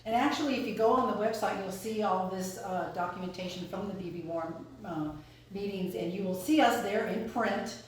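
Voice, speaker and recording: A woman officer of the club, eager and quick to agree, speaking at a community meeting. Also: medium pitch, slight background noise. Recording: very echoey hall, from far off.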